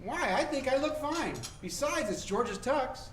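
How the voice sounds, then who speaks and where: A woman's voice: high voice, expressive, unhurried, slight background noise. A woman playing a breezy, eager teenage kid brother in a 1940s radio play. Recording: echoey live stage.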